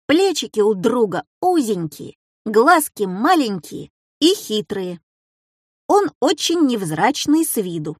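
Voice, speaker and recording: A woman's voice: fairly high pitch, very animated, slow pace, slightly rough, dry recording, studio quality, exaggerated voice. A woman voicing a mischievous, self-assured schoolboy in a children's story, with a cheeky theatrical delivery. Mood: mocking.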